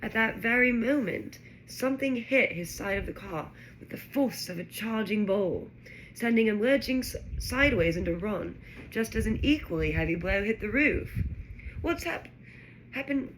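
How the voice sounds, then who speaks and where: A woman reading a children's novel aloud in an expressive, dramatic narrating style. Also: medium pitch, normal speed, poor audio. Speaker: storybook reader. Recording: faint background noise.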